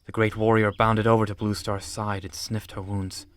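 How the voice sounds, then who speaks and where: A man's voice: lowish voice, level tone, moderate pace. A man reading scripted audiobook narration of an animal adventure story.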